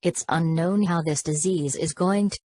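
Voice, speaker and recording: A woman's voice: on the low side, moderate pace, darkish tone, lightly acted. A woman voicing a formal emergency alert announcer in a scripted broadcast, with a stilted, synthetic-sounding delivery. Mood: formal, grave.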